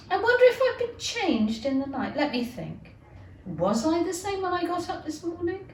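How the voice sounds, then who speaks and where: A woman's voice: sits high, a little hiss or hum, voice pushed up, exaggerated voice. A woman voicing a curious, fretful young girl in a storybook, wondering and exclaiming earnestly. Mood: puzzled. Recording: roomy, distant microphone.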